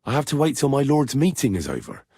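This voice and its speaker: A man playing a brash, blunt young medieval squire in a video game, with a husky voice. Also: mid-range voice, huge pitch swings, quick pace, darkish tone, dry recording, studio quality, heavily acted. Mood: earnest. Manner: blunt, colloquial, assertive. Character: brash young medieval Bohemian squire, plain-spoken and quick-tempered. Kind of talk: video game dialogue acting.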